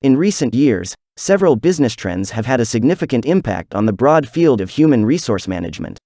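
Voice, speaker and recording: A man's voice: medium pitch, big pitch swings, fairly quick, mellow, no room sound. A man reading a scripted informational passage aloud as a narrator. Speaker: narrator of exam practice passages.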